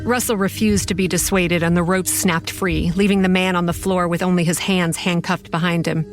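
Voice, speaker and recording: Woman as a low-voiced, wry horror story narrator. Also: fairly even intonation, normal speed, soft background music, lightly acted. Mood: somber. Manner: confiding, wry. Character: sardonic, haunted young woman running a campground.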